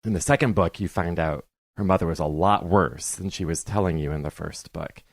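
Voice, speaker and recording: A man with a deep voice discusses books as a podcast guest. He is opinionated and emphatic but conversational, captured close with a very dry sound. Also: a little fast, vocal fry, slightly rough, no echo. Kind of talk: conversational book criticism.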